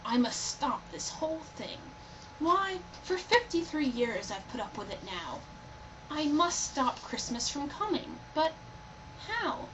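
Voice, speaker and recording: A woman's voice: high-pitched, sing-song, unhurried, distant mic, pitched above the performer's own voice. A woman voicing a sour, scheming male Grinch from a Christmas storybook, with a snarling manner. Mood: exasperated. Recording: noisy home computer recording.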